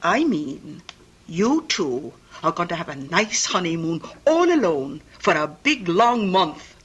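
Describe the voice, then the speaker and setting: An actress playing a cheery, bossy, affectionate older Scottish aunt in a radio drama, motherly and brisk with folksy talk. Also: fairly low pitch, big pitch swings, husky, muffled recording, full character voice. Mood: cheerful, insistent. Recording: old radio broadcast.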